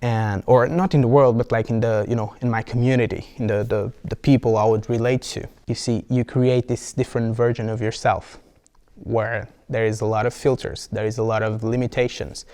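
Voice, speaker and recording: A man's voice: medium pitch, level tone, moderate pace, warm tone. A young man with a Brazilian accent, rambling playfully with many restarts as he talks to camera. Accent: Brazilian Portuguese. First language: Portuguese. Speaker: vlogger. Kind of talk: casual talk to camera.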